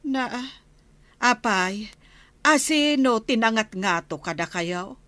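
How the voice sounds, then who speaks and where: A woman's voice: fairly high pitch, animated, slow, fairly bright tone, no room sound. A woman with an Ilocano accent playing a bossy, scolding villager in a radio comedy drama. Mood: scornful. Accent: Ilocano, northern Philippines.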